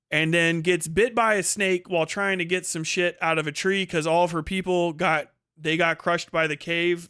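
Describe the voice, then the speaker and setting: A man's voice: sits high, pushed and bright, smooth, dry recording, studio quality. A man giving a casual, ranting review monologue as a livestream host, blunt, profane and exasperated, repeating points for emphasis.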